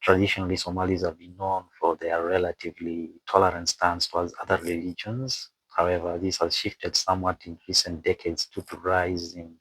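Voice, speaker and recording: A man who is not a native English speaker narrates an explainer from a script with asides, haltingly, stumbling over words and repeating phrases. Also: deep voice, little pitch movement, a bit bright, breathy edge, no echo, low-quality recording. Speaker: video presenter and narrator.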